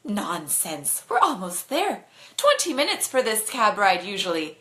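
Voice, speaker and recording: A mischievous teenage lad played by a woman from a storybook, breezy and boastful behind the wheel. The voice is bright and forward, with a teasing swagger. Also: medium pitch, big pitch swings, unhurried. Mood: breezy, cocky. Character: cocky, mischievous teenage Irish boy cab driver. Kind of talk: bedtime storybook reading.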